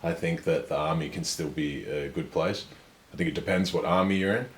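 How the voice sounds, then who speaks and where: An extremely deep-voiced young man, speaking in a measured and self-assured way. His voice sounds roomy, and a faint ambient hum sits behind it. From Australia. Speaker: guest. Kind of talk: casual conversation. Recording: echoey room with faint ambience.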